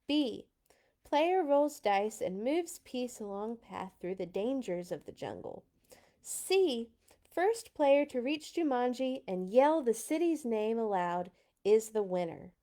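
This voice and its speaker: A woman's voice: on the high side, very animated, takes it slowly, mellow. A woman voicing a bossy, eager older sister, a young girl who gives emphatic instructions in a storybook read-aloud.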